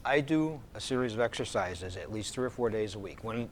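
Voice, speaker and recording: A man's voice: on the low side, expressive, a little fast, slight background noise. A man in his seventies, a guest in a casual interview, giving short agreeable answers. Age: 70s. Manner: brief, agreeable replies.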